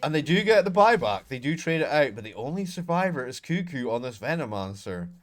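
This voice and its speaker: A Scottish man casting a live video game match on his own, talking to chat between fights and getting loud and excited in the action. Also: fairly high pitch, brisk. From Scotland. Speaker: esports caster. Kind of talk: solo live game commentary with chat asides.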